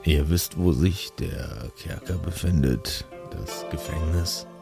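Very deep, muttering, monstrous healer. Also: male voice, slow pace, over music, exaggerated voice. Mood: shady, muttering. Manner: terse, muttering, repeats himself. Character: long, gaunt, monstrous male healer cleric, shady and laconic.

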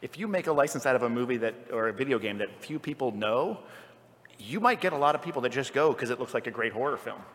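A man on a live convention panel who talks at a very rapid clip as he reasons through a counterpoint. His voice echoes through a large hall. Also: mid-range voice, expressive, fairly bright tone.

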